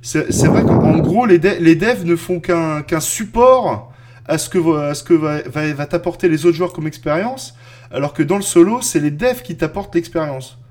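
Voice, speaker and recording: A man's voice: a little high, moderate pace, darkish tone. A man in his thirties chatting casually as a podcast co-host, rambling with lots of fillers and restarts. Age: 30s. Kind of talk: casual roundtable chat.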